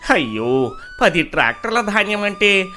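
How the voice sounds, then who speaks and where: Man acting male villager in a storytelling drama, fairly high voice, lively swings in pitch, music underneath. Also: normal speed, full character voice. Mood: aggrieved. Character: male villagers in a moral tale: traders, mill owner, wronged farmer.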